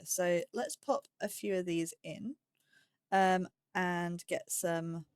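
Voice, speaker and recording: Woman gamer, mid-pitched, rambling and chatty commentary, ums throughout. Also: no room sound. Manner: chatty, thinking aloud with many ums. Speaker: let's play gamer. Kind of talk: casual gameplay commentary.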